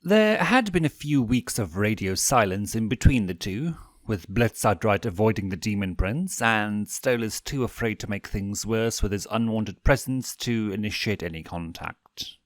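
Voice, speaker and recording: A man narrates fiction in a fairly low voice with very lively, swinging intonation. He reads in an audiobook style, and the sound is dry and close. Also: normal speed, hardly any pauses, no echo. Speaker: fanfiction narrator.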